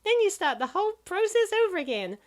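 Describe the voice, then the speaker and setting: A woman's voice: extremely high pitch, sing-song, fast talker. A woman voicing a stubborn, exasperated young female gamer in a comic dub, complaining in long rattled-off runs.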